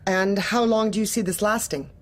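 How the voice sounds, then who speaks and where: A woman playing a manipulative, politely officious office manager who probes in a businesslike way, in a dark comedy audio drama. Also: mid-range voice, fairly even intonation, normal speed, lightly acted. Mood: probing, businesslike. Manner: polite, probing, businesslike, bureaucratic.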